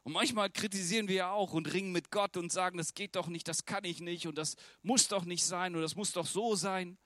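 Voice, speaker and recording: A man's voice: sits high, fairly even intonation, fairly quick, a bit bright, no room sound, clean studio sound. A German man preaching a sermon in an earnest, conversational way, often turning to ask the listeners direct questions.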